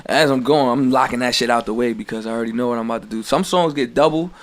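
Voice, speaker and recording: Soft-spoken, fast-talking New man. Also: medium pitch. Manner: soft-spoken, quick, easygoing. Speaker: rapper guest.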